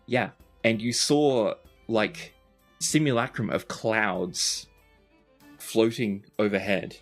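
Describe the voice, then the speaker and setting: Male game master, low voice, improvised conversational narration over music. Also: slow.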